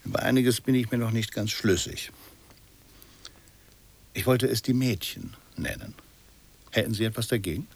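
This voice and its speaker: An actor playing a calm, reserved, quietly teasing portrait painter in a radio play, speaking in a measured, understated way. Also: male voice, mid-range voice, natural voice. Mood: deferential, hesitant. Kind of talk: German radio play acting.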